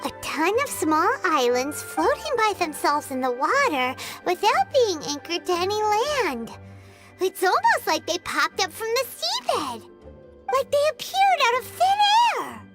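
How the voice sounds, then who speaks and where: Very high squeaky fairy companion. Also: female voice, wildly swooping pitch, measured pace, exaggerated voice. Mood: amazed. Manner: squeaky, exclamatory, speaks of herself in third person. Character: tiny excitable floating fairy companion girl. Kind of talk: video game voice acting. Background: music.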